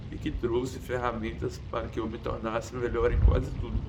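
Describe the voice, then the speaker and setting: A man narrates a first-person audiobook in an even, level voice, now and then tripping over a word and starting it again. He sits well back from the microphone, and a loud, steady noise runs under everything he says. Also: mid-range voice. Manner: reads with slips and restarts. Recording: distant mic, loud background noise.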